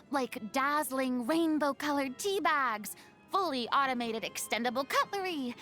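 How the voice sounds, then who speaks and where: A young game heroine: high, unhurried, haughty former stage star, refined and dramatic, wistful underneath. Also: female voice, fairly bright tone, exaggerated voice. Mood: airy, reflective. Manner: theatrical, refined, haughty diction with dramatic swings. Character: theatrical young woman, proud, dramatic former stage idol, secretly wistful. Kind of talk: video game character voice lines.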